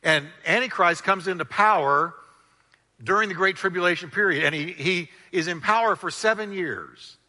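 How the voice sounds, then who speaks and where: A man preaching a sermon with a loud, piercingly bright voice that carries. His pitch sits a little high, and he stretches key words for drama. Long reverb from a church hall trails each phrase. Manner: emphatic preaching with dramatic pitch swings and slow stressed words; calls on the congregation to repeat phrases. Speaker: pastor.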